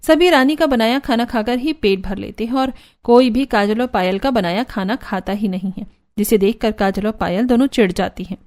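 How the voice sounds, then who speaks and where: A woman with a very high voice narrates a cartoon family story. Her delivery is scripted storytelling, moving through the tale as its narrator. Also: normal speed, a little mellow.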